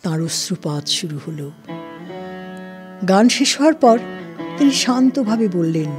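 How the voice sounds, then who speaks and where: A woman's voice: mid-range voice, big pitch swings, unhurried. Woman narrating a story over strings. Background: plucked string instrument music.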